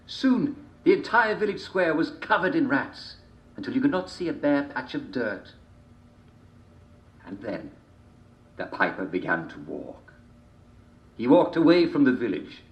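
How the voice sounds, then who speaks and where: Theatrical male storyteller, widely swinging pitch. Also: big pitch swings. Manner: theatrical, deliberate, with repeated phrases and dramatic pauses. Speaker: storyteller reading a folk tale. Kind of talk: dramatic fairy tale storytelling. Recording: dull, roomy, echoing home recording.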